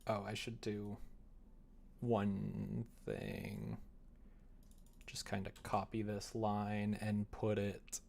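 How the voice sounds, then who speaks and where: A young adult man chatting casually on a livestream as he works, muttering to himself and then growing animated. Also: lowish voice, very slow, creaky, long pauses. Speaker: livestreamer developing a visual novel. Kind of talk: casual livestream chat while working.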